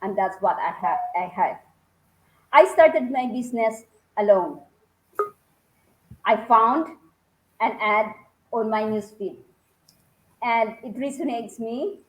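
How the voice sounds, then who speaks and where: A woman's voice: on the high side, lively intonation, takes it slowly, pauses often. Enthusiastic Filipina woman in echoey room. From Philippines. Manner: enthusiastic, rambling with fillers and restarts. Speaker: panelist guest. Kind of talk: casual online panel talk.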